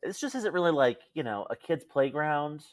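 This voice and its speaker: A woman's voice: low voice, expressive, normal speed, no echo, studio quality. A young adult American woman co-hosting a podcast, telling casual anecdotes expressively and acting out quoted dialogue with some exasperation. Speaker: podcast co-host. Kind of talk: casual chat, storytelling.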